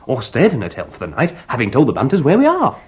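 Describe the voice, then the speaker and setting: A blustering, upper-class English gentleman in a radio farce. His voice is very husky and swoops about as he grumbles, flustered and dryly facetious. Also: medium pitch, wildly swooping pitch, quick pace, heavily acted. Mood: petulant. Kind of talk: stage farce radio acting.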